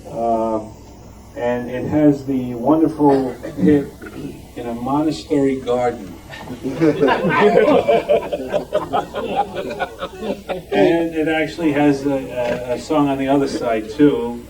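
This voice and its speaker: Man presenting from afar, noisy room. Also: mid-range voice. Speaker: club member presenting collection items. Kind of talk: informal show-and-tell presentation. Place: club meeting room with members behind. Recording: distant mic, noisy room.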